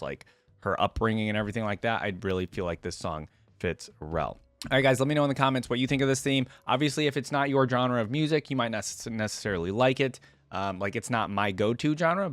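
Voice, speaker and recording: A man's voice: medium pitch, dry recording. A man hosting a reaction video, commenting casually and thinking aloud in slangy, everyday language. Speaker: host of a game reaction video channel.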